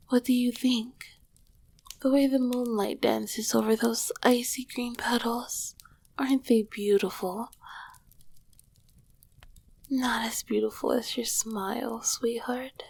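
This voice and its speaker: Woman acting as ancient demon queen, female, whispered ASMR roleplay, husky breath, creaky edge, slow regal delivery, tender, flirtatious. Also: fairly high pitch, darkish tone, full character voice. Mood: playfully flirtatious. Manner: regal, old-fashioned, affectionate phrasing.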